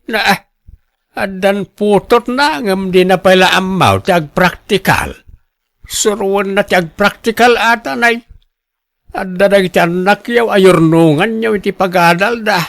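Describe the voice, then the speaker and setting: A man's voice: high-pitched, measured pace, vocal fry, fairly bright tone, no room sound, studio quality, full character voice. A man playing a cash-strapped, defensive husband pleading for loans in an Ilocano radio drama, with an Ilocano accent. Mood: earnest. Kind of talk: Filipino radio drama acting.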